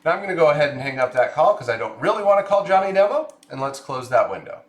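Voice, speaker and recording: A man presenting a software tutorial, explaining clearly step by step in a scripted narration style. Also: on the high side, very animated, fast, reverberant.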